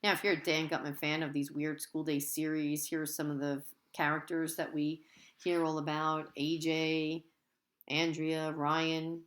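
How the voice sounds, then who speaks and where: A woman's voice: low-pitched, little pitch movement, measured pace, a bit bright. A woman teacher reading a children's book aloud expressively, with asides that speak directly to the children.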